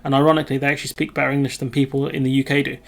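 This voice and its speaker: A man's voice: mid-range voice, level tone, racing, gravelly. A British man hosting his own video channel, reading out a news story and adding rambling, self-correcting remarks full of ums. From United Kingdom. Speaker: video host. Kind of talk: casual commentary reading news.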